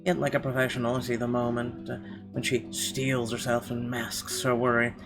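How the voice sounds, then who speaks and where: A man's voice: mid-range voice, little pitch movement, normal speed. A man hosting a gaming video, reading a visual novel's narration aloud. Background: game music.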